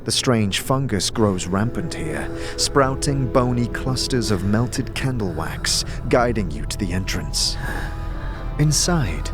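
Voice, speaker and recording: Male narrator, rather low, dramatic scripted fantasy storytelling, soft music behind. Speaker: narrator, game master.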